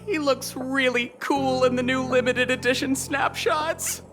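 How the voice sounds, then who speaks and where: A woman's voice: sits high, steady tone, a little slow, fairly bright tone, faint music behind. A woman playing a keen, zealous young fan club devotee in a video game. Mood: knowing, excited.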